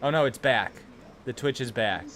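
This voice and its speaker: A man's voice: mid-range voice, normal speed, a bit bright. A young man with a Chicago accent, hosting a casual livestream from a small room at home and telling rambling, profane stories to his chat. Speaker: livestream host.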